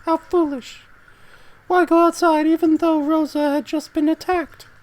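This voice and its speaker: A proper, anxious lady played by a woman in an amateur radio-play style. Her voice is very high, and her wording is formal and precise. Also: fairly even intonation, measured pace, a little mellow. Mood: worried, reproachful. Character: anxious, proper woman, impatient yet sympathetic. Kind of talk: amateur dramatic reading.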